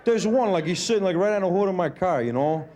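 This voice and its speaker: Deadpan Brooklyn comic, lively pitch. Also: male voice, quick pace, a loud crowd. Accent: Brooklyn New York. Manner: laid-back, deadpan, lots of fillers like "you know" and "uh". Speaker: stand-up comedian. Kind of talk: stand-up comedy set. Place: comedy club stage with laughing audience. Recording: live club recording with audience laughter and room noise.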